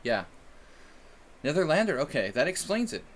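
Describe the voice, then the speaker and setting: A man's voice: a little high, big pitch swings, moderate pace. A man from the United States streaming chess and chatting casually with viewers in a rambling, self-deprecating way. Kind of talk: casual live-stream chat.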